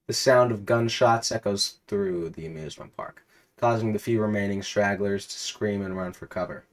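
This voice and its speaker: A man's voice: on the low side, steady tone, moderate pace. A man telling a comedic story in the second person with dramatic narration.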